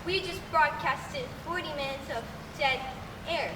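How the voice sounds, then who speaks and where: A woman's voice: high-pitched, measured pace. A young woman playing a baffled radio broadcaster in a school stage play, recorded from far off in a noisy room. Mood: deflated. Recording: distant roomy stage recording, clearly noisy.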